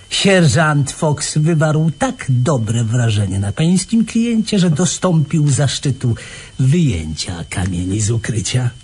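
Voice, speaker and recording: A smug male police inspector, with a fairly high, very animated voice. He savours his words slowly with mock courtesy and theatrical gloating. There is faint noise on the recording. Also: slightly rough. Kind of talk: radio play acting.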